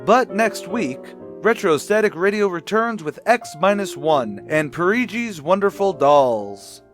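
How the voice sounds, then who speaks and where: A man's voice: high-pitched, animated, unhurried, over music. A man reading a scripted outro announcement as a podcast announcer.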